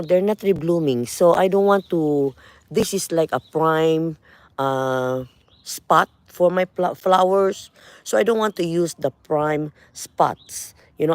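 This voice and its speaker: A woman's voice: low-pitched, a little mellow, no room sound. A middle-aged woman with a Filipino accent gives a casual walk-through of her garden outdoors, chatting loosely and repeating herself with lots of "you know" and "uh". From Philippines. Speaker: gardening vlogger.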